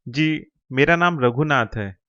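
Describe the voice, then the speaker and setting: A man's voice: fairly high pitch, measured pace, fairly bright tone, clear, resonant tone, dull audio. A man voicing a humble, earnest factory newcomer in a cartoon story, speaking politely and deferentially.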